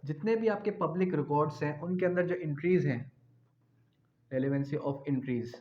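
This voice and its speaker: Male lecturer, Pakistani accent, slightly high pitch, didactic, repeats key phrases, dull lo-fi recording. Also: normal speed, a little mellow. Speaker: law lecturer. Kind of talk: explanatory lecture, reading out legal articles and explaining them. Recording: dull, lo-fi audio.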